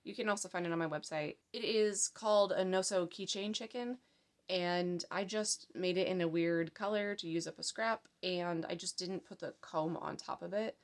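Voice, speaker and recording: A woman's voice: lowish voice, moderate pace. A woman in her thirties with a slight cold, chatting casually about her handmade work in a rambling, friendly way. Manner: chatty, rambling, lots of fillers. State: hoarse from a cold. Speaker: crochet pattern designer and vlogger. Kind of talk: casual show-and-tell chat. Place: home craft room in daylight.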